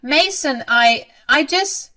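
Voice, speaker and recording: A woman's voice: medium pitch, lively intonation, measured pace, fairly bright tone. A woman voicing a spiteful, snobbish older mother with a haughty, mocking manner in a dramatized story. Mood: flustered, pleading.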